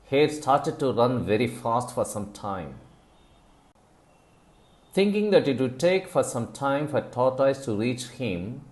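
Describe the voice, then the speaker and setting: A man reading a scripted moral story as a storyteller, in a deliberate, instructive manner with stressed phrases. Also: mid-range voice, darkish tone.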